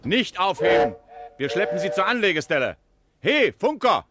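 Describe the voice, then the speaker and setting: Bossy harbour police officer, highish voice, barking orders, noisy old radio play. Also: male voice, very animated, moderate pace. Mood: commanding. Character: brisk, commanding harbour police boat officer. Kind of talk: 1950s German radio crime drama acting.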